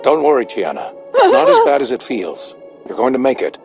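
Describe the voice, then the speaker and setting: A man's voice: mid-range voice, huge pitch swings, a little fast, darkish tone, soft background music. An actor playing an earnest retired spy and family father in a video game, anxious and hesitant. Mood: reassuring. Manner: earnest, hesitant, repeats himself. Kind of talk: scripted video game dialogue.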